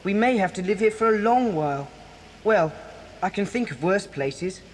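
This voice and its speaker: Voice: mid-range voice, expressive, normal speed, lightly acted. A performer playing a blunt, brave schoolboy with an English accent in a children's audio drama. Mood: matter-of-fact. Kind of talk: children's radio drama acting. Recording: hissy old cassette tape.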